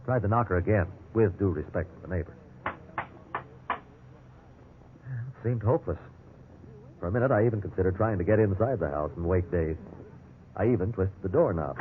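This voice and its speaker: A man plays an earnest, respectable businessman who narrates his own misfortune in a 1950s radio drama. His voice is fairly low and conversational, with a wry edge. The recording is thin and old, with slight hiss. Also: phone-quality sound, natural voice.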